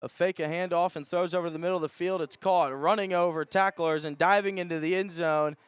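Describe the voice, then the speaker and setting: A man calling live high school football as a radio play-by-play announcer. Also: steady tone, brisk, clean tone, no echo.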